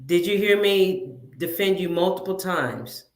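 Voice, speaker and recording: A woman calling into a livestream over a video call, asking pointed questions in a deliberate way. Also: on the low side, roomy sound. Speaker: livestream guest. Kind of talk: casual livestream talk.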